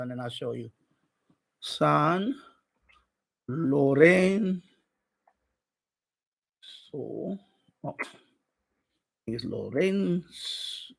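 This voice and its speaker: A man's voice: on the high side, vocal fry. A middle-aged man from Puerto Rico chatting casually on a livestream, rambling and often repeating himself. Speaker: live streamer, radio hobbyist.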